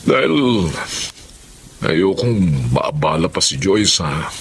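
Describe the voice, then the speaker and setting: An actor playing an older, ailing Filipino father who is secretive and devoted, speaking haltingly in a drama. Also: low voice, sing-song, slight background noise, full character voice. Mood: heavy-hearted. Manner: halting, exclaiming, repeats words. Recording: music behind the dialogue.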